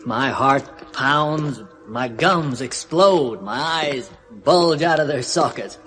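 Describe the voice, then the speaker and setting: A detached astronaut. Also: male voice, medium pitch, slow pace, a bit bright, soft background music. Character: astronaut man, trained and emotionally detached. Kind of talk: 1970s radio drama acting.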